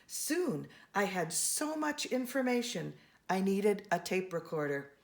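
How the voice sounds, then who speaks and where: A woman reading a children's picture book aloud, expressively and with deliberate emphasis on key words. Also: medium pitch, lively intonation, creaky. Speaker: storybook reader.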